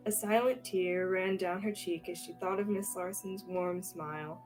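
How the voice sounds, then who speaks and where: Young woman narrating over soft music. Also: fairly low pitch, fairly even intonation, moderate pace, rough recording. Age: young adult. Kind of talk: scripted radio theatre narration.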